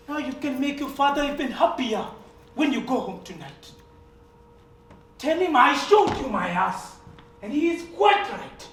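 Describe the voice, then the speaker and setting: An actor playing a dignified older servant on stage, measured and firm, with bitterness underneath. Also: medium pitch. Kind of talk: stage play acting. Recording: roomy stage recording from a distance, faint hiss.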